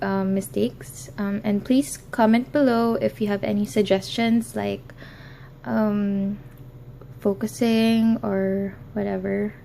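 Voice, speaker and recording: A woman's voice: mid-range voice, vocal fry, mellow, slight background noise. A young woman hosting a crafting tutorial, talking hesitantly with many ums and apologies, recorded in an office. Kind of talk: casual how-to tutorial.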